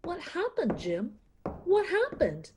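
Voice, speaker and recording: A woman's voice: very high voice, huge pitch swings, takes it slowly, very noisy, exaggerated voice. A woman playing a fretful, anxious mother in a radio play, flustered and exclaiming.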